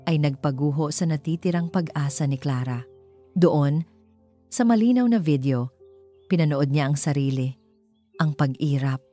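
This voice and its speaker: Mellow, low-voiced Filipino woman narrating a story. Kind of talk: scripted dramatic story narration.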